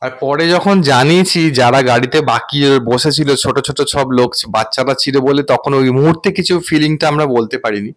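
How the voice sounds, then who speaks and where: A man's voice: medium pitch, a little fast, few pauses. A man hosting a vlog, recounting a personal experience in an earnest, wordy, explanatory way. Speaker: vlogger and host.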